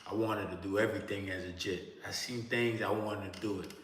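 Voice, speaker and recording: A man's voice: fairly low pitch, steady tone, a little fast, fairly bright tone, slight background noise. A man from Miami with a Miami accent, chatting casually and profanely to his livestream viewers from an indoor room. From Miami, Florida. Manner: loose, slangy and profane, talking straight to chat. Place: indoor room, seated in a creaky chair. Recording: roomy echoey room with long reverb.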